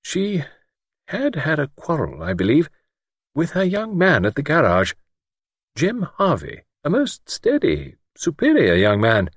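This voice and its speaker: A man's voice: a little high, wildly swooping pitch, normal speed, breathy edge, no echo, studio quality, voice pushed up, full character voice. A man voicing a genteel, hesitant and anxious elderly woman in an audiobook, speaking in prim, careful, formal phrases. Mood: anxious, earnest. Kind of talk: audiobook character voice.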